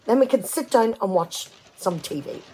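A woman's voice: mid-range voice, expressive, normal speed, dark tone, a little hiss or hum, close to an everyday voice, rough recording. An amateur actress, a woman, playing a practical, firm wife in a conversational domestic radio play.

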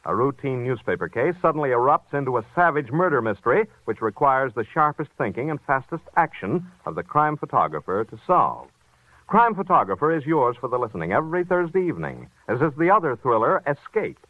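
A man's voice: medium pitch, sing-song, brisk, dry recording. A man with an American accent announcing radio thrillers in a dramatic, punchy 1940s promotional style. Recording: tinny vintage radio broadcast recording.

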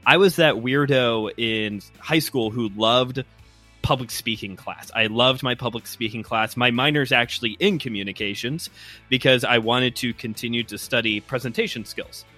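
Bright-voiced Chicago man telling a story. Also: medium pitch, normal speed, soft background music. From Chicago area, US Midwest. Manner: friendly storytelling with fillers. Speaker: guest host, preacher. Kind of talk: casual podcast chat.

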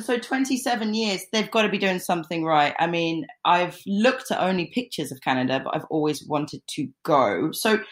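A woman in her thirties hosting an interview, enthusiastic and full of exclamations. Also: medium pitch. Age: 30s. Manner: enthusiastic, gushing. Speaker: podcast host. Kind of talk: casual interview chat.

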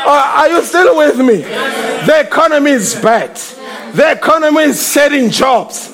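High-voiced shouting preacher. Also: male voice, expressive, normal speed, crowd noise. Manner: shouting, emphatic, repeats slogans. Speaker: pastor. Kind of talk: fiery preaching sermon. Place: church service with congregation. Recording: congregation noise behind.

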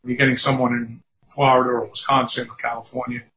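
A man's voice: medium pitch, level tone, fast, bright, forceful tone. Older New York man, chatty guest. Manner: chatty, self-deprecating asides. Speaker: guest, recruiting firm founder. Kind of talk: podcast interview. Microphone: remote call. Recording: dull lo-fi remote audio.

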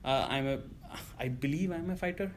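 A man's voice: medium pitch, fairly quick, slight background noise. A man in his thirties from India with an Indian accent, telling his story in a casual interview, cursing often and rambling with fillers. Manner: profane, rambling, lots of you know fillers. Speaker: guest.

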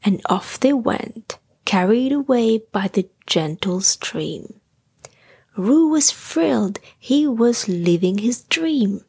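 A woman narrating a children's bedtime story in a gentle, breathy, husky voice. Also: fairly high pitch, lively intonation, takes it slowly.

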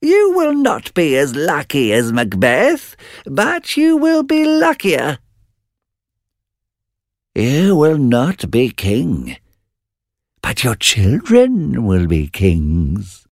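A man voicing three eerie witches who call out prophecies in high, drawn-out, distant voices. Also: huge pitch swings, no echo, clean studio sound, full character voice. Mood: eerie chant.

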